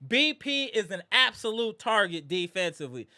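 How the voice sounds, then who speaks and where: A man hosting a live postgame basketball reaction stream, animated and greeting viewers in the chat, his voice strained from yelling. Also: high-pitched, moderate pace, no echo, clean studio sound. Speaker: livestream host.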